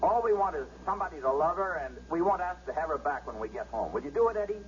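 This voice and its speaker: A man plays an eager friend pleading for a favor on the phone in a vintage radio play. His voice sits a little high, and it sounds dull and muffled with a faint hiss. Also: lively intonation, quick pace, close to an everyday voice. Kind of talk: 1970s radio drama acting.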